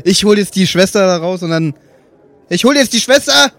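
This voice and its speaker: A man playing in an improvised tabletop game, talking excitedly. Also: sits high, moderate pace, no room sound.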